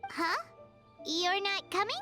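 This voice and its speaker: Squeaky, tiny, excitable fairy girl. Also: slow, loud music behind the voice, exaggerated voice. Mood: surprised, cheerful. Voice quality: squeaky, childlike. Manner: exuberant, speaks of herself in third person. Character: small excitable childlike fairy companion girl. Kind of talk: animated game character acting.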